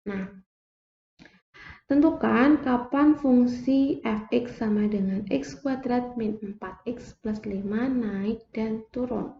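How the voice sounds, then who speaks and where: Slow, step-by-step young woman teaching math. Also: a little high, creaky, a little hiss or hum. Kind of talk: explaining a math lesson. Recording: echoey room, dull lo-fi sound.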